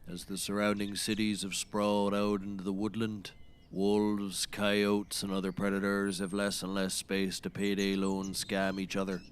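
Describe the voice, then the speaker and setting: An actor playing a folksy, dryly sarcastic backwoods man in a scripted comedy, with deadpan delivery. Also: on the low side, normal speed, clear, resonant tone, lightly acted. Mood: casual, wry. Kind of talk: scripted audio comedy acting.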